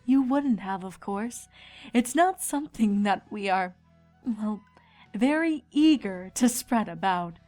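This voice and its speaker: A woman's voice: medium pitch, lively intonation, slow, mellow, faint music behind. A woman voicing a regal, placid pony princess who teaches patiently and delicately in a cartoon-style fan reading. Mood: coyly embarrassed.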